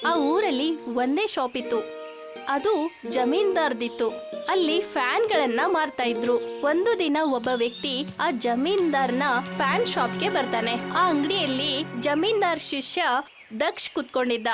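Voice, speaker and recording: Female narrator, very high voice, scripted moral storytelling, music underneath. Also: expressive, unhurried.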